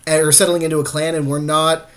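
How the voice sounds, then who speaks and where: A man's voice: on the high side, flat delivery, fast. A man co-hosting a card game podcast, chatting casually and advising on picks.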